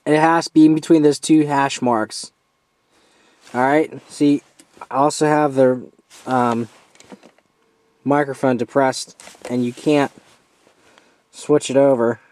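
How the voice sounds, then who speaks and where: A man giving a casual, hands-on product review as an amateur, informal and halting as he steps through features. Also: medium pitch, steady tone, darkish tone, dry recording.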